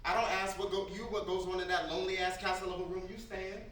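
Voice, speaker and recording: A man's voice: sits high, little pitch movement, quick pace, a bit bright. A man playing a defensive, weary younger brother out of prison in a dramatic staged reading, speaking emotional, profane vernacular. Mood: defensive, irritated. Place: echoey room at a live staged reading. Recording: roomy, distant mic, faint noise.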